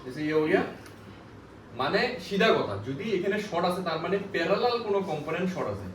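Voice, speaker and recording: A man's voice: a little high, expressive, quick pace. A man teaching a repair class, explaining emphatically, repeating points and often checking with questions. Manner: repetitive, emphatic teaching with frequent rhetorical questions. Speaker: phone repair instructor. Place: indoor classroom. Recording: echoey room, distant mic, faint background noise.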